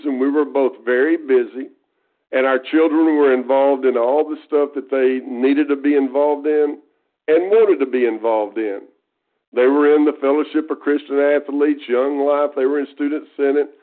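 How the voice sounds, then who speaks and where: A man in his fifties with an East Texas accent, a guest speaker talking earnestly and folksily on a conference call, often restarting his phrases. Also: medium pitch. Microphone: telephone. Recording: tinny phone line.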